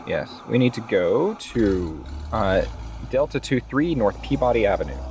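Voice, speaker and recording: A man improvising as a tabletop game player, talking deliberately with some hesitation. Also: lowish voice, sing-song, darkish tone, a little hiss or hum. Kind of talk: improvised actual-play game talk.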